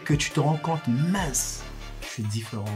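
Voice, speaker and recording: A man of Congolese and Angolan roots, a podcast guest, speaking thoughtfully with many pauses and repeats. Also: on the high side, lively intonation, music in the background. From Congo-Brazzaville and Angola. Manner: thoughtful, hesitant, with many fillers and repeated words. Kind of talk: casual podcast chat.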